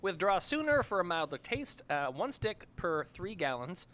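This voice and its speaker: A man's voice: high voice, very animated, moderate pace, a bit bright. A man hosting a casual podcast chat, joking around and talking with plenty of fillers. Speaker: podcast host.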